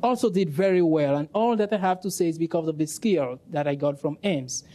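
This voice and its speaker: A man's voice: on the high side, fairly quick, warm tone. A man from Cameroon, earnestly telling his story on a panel and laughing now and then.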